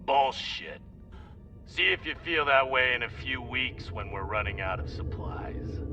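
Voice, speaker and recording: A man playing a hard, impatient male spaceship captain in a video game, gruffly barking orders. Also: medium pitch, expressive, a little slow, far from the mic, music in the background. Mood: angry.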